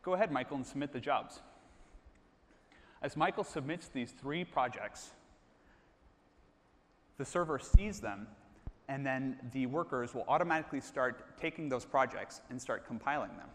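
Man demoing software on a reverberant stage. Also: mid-range voice, moderate pace, long pauses. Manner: steady explanatory, addresses colleagues by name. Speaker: product presenter. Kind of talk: live stage product demo. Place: large stage, hall. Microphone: lapel mic. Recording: reverberant hall.

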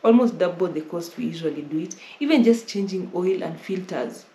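A woman's voice: on the low side, lively intonation, fairly quick, warm tone. Young Kenyan woman, casual vlog host, talks it through and rephrases, roomy living room sound. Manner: repeats and rephrases while explaining. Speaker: travel vlogger host. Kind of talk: casual Q&A advice. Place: indoor living room, on a sofa. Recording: roomy with faint noise.

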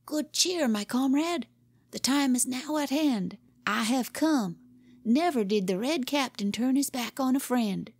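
Bossy boy pirate captain, pompous orator, higher voice, creaky grain; a woman doing him. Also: lively intonation, unhurried, heavily acted. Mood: grandiose. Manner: pompous, theatrical boyish oratory.

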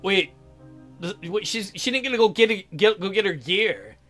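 Bright, high-pitched man joking through a game. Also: lively intonation, moderate pace, soft background music. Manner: irreverent, joking, exaggerated. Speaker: gaming host. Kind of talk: comic read-aloud commentary on a visual novel.

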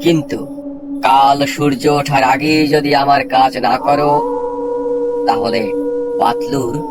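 A man's voice: mid-range voice, slow, very noisy. A man voicing a huge, imposing demon father in a solemn, theatrical cartoon manner. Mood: solemn warning. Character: huge male demon, anxious father, imposing but grateful.

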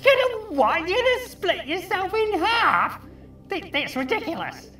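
A woman voicing an excitable, grumbling fish in a children's puppet show, exclaiming and muttering incredulously. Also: very high-pitched, measured pace, reverberant, far from the mic, faint music behind, full character voice.

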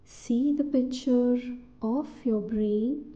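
Woman, higher-pitched voice, extremely soft mellow tone, soothing meditation narration, slightly muffled recording. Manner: soothing, deliberate, short phrases with pauses. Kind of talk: guided meditation, scripted narration.

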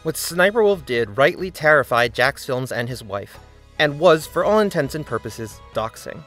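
A man's voice: mid-range voice, animated, moderate pace, a bit bright, soft background music. A man narrating a scripted video essay, with earnest, critical commentary.

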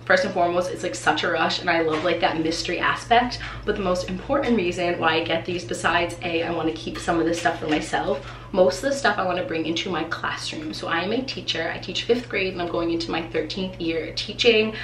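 A woman in her thirties, a teacher from New England, chatting excitedly through an unboxing with a congested, nasal voice from allergies. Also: on the low side, brisk. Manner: chatty and excitable, many "like" fillers. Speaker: teacher and vlogger. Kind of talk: casual unboxing vlog. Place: small room indoors. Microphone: camera in front, seated. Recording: echoey room, faint hiss.

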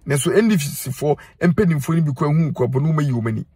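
A middle-aged Ghanaian man with a husky, slightly raised voice talks straight into a phone held close inside a parked car. He lectures and admonishes in earnest, repeating his points for emphasis. The sound is dry and close. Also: on the high side, expressive, quick pace, warm tone, no room sound. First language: Twi. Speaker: social media commentator. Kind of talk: direct-to-camera advice monologue.

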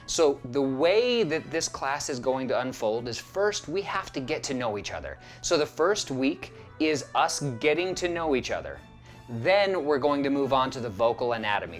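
Man, 30s, upbeat teacher, to camera, faint music. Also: mid-range voice. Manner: upbeat and encouraging. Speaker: acting professor. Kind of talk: lecture to camera. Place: studio stage set.

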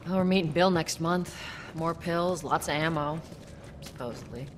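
An actress playing a tough, hard-bitten smuggler who talks in a cool, wry, blunt way in a video game. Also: female voice, sits low, moderate pace, a little hiss or hum, close to an everyday voice. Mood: businesslike. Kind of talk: naturalistic dramatic game acting.

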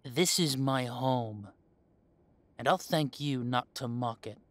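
A man's voice: mid-range voice, slow, fairly bright tone, dry recording. A man playing a young adult werewolf in an audio drama, an estranged heir who talks with sarcasm and indignation. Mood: indignant. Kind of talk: audio roleplay drama acting.

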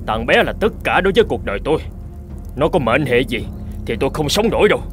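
A man playing a stubborn, greedy hunter and father in an animated folk tale, brusque, boastful and dismissive. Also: medium pitch, quick pace, close to an everyday voice. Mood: indignant, bossy. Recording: music behind the voice.

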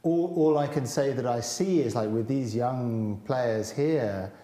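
A man's voice: mid-range voice, unhurried. A man in his forties, a musician guest, speaking thoughtfully in a panel conversation in a large hall. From Britain. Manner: thoughtful, animated with hand gestures. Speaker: cellist guest. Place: large hall with high windows. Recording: echoey hall.

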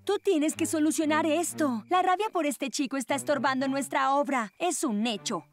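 Cartoon level-headed friend played by a woman: high-voiced teen, steady and firm, light music behind. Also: normal speed, natural voice. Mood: serious. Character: sensible, reassuring teenage girl friend.

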